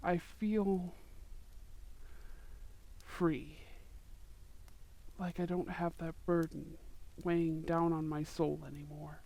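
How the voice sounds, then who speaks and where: A man voicing a young male wizard courting his sweetheart in an ASMR roleplay, soft-spoken and affectionate. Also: fairly high pitch, flat delivery, takes it slowly, creaky, pauses often, poor audio. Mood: tender. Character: young male wizard, tender romantic suitor.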